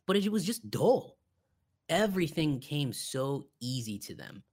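A man's voice: a little high, sing-song, unhurried, a little mellow, husky. A man co-hosting a podcast, chatting casually and with strong opinions about films. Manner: opinionated, conversational. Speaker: podcast co-host. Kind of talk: casual film review chat.

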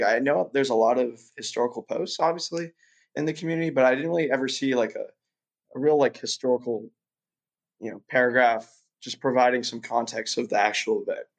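A man's voice: mid-range voice, creaky. A young man from Russia, a guest chatting casually in an interview, rambling with lots of fillers and restarts.

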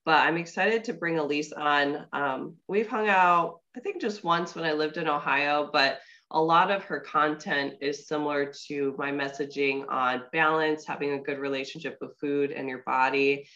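A woman's voice: sits low, steady tone, moderate pace, fairly bright tone, lo-fi sound. A young woman hosting a podcast in casual chat, her voice congested from a cold. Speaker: podcast host.

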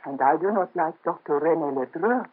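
A gentle young countess, breathy, soft and earnest, thin phone-like old radio sound. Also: female voice, sits low, lively intonation, a little fast. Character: young noblewoman in hiding, proud and gentle. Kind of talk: 1930s radio drama acting. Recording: muffled lo-fi old radio transfer.

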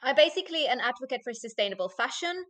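Woman, late 20s, loud and animated podcast guest who laughs often. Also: high voice, fast. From Finland. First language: Finnish. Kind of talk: casual interview chat.

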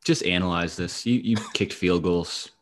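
A man with a fairly low voice hosts a casual sports talk show. He chats in a wry, dry way and swears freely as he gives his commentary. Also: normal speed. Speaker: sports podcast host.

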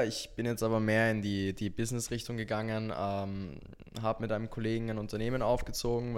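A man of eighteen with an Austrian accent speaks as a guest in a casual interview. His voice sits at an ordinary male pitch. He talks conversationally about himself, as a social media creator would. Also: clean tone.

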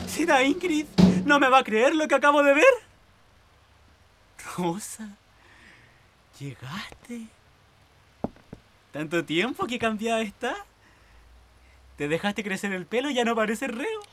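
A woman playing an excitable, fearful housemaid from the south in a radio play, with a Chilean accent and a breathless, deferential, rambling delivery. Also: fairly high pitch, takes it slowly, long pauses, background noise. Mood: flustered, evasive. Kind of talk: Spanish-language radio theatre acting.

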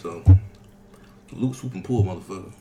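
A man's voice: sits low, moderate pace, very noisy. A man in his twenties, ranting profanely and animatedly in a casual chat among friends. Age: 20s. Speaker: podcast co-host. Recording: echoey room.

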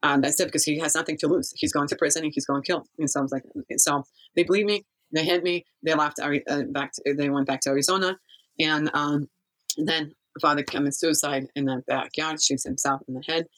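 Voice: medium pitch, fairly even intonation, brisk, fairly bright tone, poor audio. A person from Kazakhstan telling a life story in a casual interview, rambling and often losing the thread.

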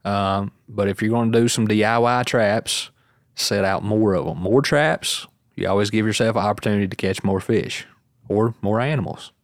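A relaxed male podcast host talks in a loose, rambling way and laughs easily. His voice sits a little low. The sound is close and dry, with no room echo. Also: normal speed. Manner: rambling, easygoing, laughs often. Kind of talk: casual podcast chat.

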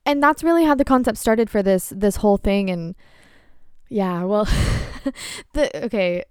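An excitable young woman in her twenties talks through her solo podcast, candid and quick to swear. Her voice is smooth and clear, recorded dry and close with no room around it. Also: mid-range voice, no echo. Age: 20s. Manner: excitable, candid, swears casually, frequent laughs and fillers. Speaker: podcast host. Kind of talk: casual solo chat.

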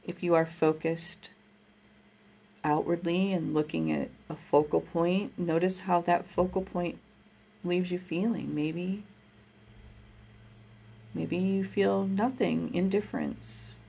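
A woman guiding a breathing meditation, speaking in a slow, soothing, gentle way. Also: on the low side, fairly even intonation, creaky, breathy edge. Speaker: meditation guide.

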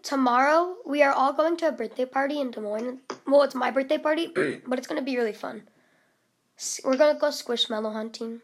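Young woman, high voice, giggly and rambling, casual vlog host recorded at home. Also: fairly quick. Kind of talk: casual chat with friends.

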